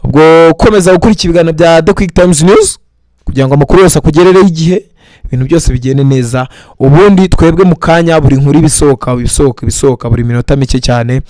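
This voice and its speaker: A man's voice: a little high, fast, a little mellow, no echo. A male news presenter reading a report, then earnestly asking viewers to comment and giving blessings. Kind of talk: news narration and sign-off.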